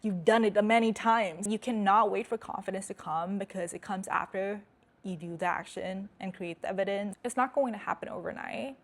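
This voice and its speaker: A woman's voice: medium pitch, normal speed. A young woman with an American accent, hosting a casual vlog in a direct, emphatic, candid way. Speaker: host. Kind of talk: casual talking-head vlog.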